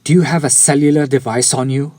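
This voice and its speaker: A man's voice: mid-range voice, steady tone, quick pace, darkish tone, no echo, lightly acted. A man playing a courtly, centuries-old vampire in an intimate audio roleplay, speaking to the listener with formal, old-fashioned politeness. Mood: courteous, eager.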